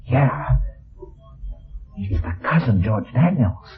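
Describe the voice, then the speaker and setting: An actor playing a shrewd, unflappable private detective in a vintage radio mystery, speaking in a terse, wry way. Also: male voice, mid-range voice, lively intonation, slow, slightly rough, exaggerated voice. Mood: hushed, wary. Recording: muffled lo-fi old radio recording.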